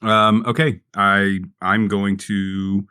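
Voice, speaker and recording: A man playing a tabletop game, casually describing his character's actions in a halting, searching way. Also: fairly low pitch, little pitch movement, pushed and bright, clean tone, no echo, studio quality.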